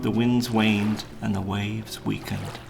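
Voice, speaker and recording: A man reading a children's story as a narrator, in a measured, dramatic storytelling delivery. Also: on the low side, little pitch movement, vocal fry. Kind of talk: scripted storybook narration for a live puppet show. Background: plucked guitar music.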